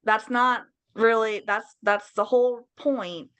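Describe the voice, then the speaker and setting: Candid young woman, slightly raspy voice. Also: on the high side, slow pace, fairly bright tone, lo-fi sound. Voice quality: slightly raised, raspy voice from a nerve implant. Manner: candid, swears freely, frank and joking. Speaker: podcast guest. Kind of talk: casual interview chat.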